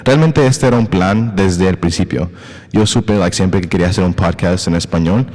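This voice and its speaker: Deep-voiced hesitant male podcast host. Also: moderate pace, a little mellow, in a large hall. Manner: hesitant, with frequent fillers and English words mixed in. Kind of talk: casual conversational interview.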